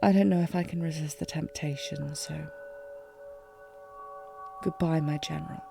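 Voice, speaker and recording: Woman playing a low, creaky-voiced lovesick warrior queen. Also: steady tone, music in the background. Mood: sorrowful, resigned. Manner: formal, emotive, halting delivery. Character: lovesick warrior queen, regal yet fragile and devoted. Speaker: audio roleplay performer. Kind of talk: dramatic fantasy audio roleplay, intimate monologue.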